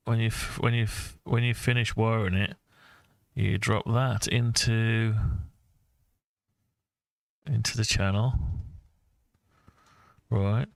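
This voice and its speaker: A man with a lowish, gravelly voice and a very flat delivery presents a hobby design project. He muses hesitantly as he works through ideas, as if talking to himself. The sound is close and dry. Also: no room sound. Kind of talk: casual screen-share design walkthrough, thinking aloud.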